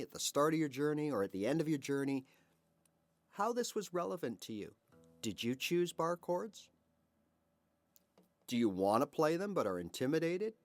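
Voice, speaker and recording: A man's voice: on the high side, no room sound. A man of fifty or older giving a casual guitar lesson to camera, chatty and encouraging, asking rhetorical questions and checking in with "all right" and "right?". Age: 50s or older. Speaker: guitar teacher.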